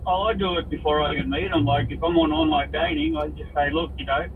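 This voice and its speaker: A man in his forties talks frankly as a radio caller. His voice is slightly high and matter-of-fact, coming distantly from a car radio with faint road noise. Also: fairly even intonation, quick pace. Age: 40s. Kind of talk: casual candid chat.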